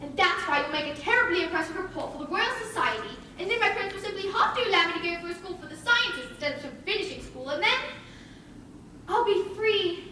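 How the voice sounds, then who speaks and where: Woman acting earnest, bright-voiced young girl scientist. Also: extremely high pitch, expressive, quick pace, distant mic, exaggerated voice. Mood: earnest, proud. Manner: articulate, proper, emphatic. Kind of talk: theatrical stage play acting. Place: theatre stage, recorded from a distance. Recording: echoey stage recording from afar, faint noise.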